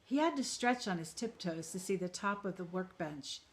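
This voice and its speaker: A woman teacher reading a children's story aloud in a calm, expressive way. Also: lowish voice, normal speed.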